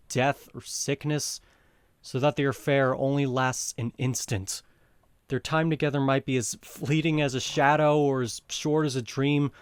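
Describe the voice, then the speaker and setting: A man's voice: medium pitch, no room sound, close to an everyday voice. A man playing an ardent, reassuring young lover in an audio drama, earnest and theatrical in manner. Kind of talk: modernized Shakespeare audio drama acting.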